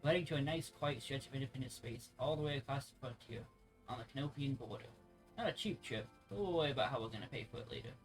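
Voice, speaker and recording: A man's voice: medium pitch, animated, fast talker, close to an everyday voice. A man reading aloud the game dialogue of a friendly, plain-spoken mercenary officer, conversational and stumbling over some words. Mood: businesslike. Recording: music playing behind the voice.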